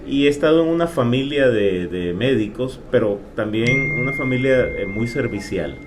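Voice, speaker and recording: A man, an insurance agent speaking as a guest, earnest and hesitant with many pauses. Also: mid-range voice, animated. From Honduras. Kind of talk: casual interview. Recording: faint background noise.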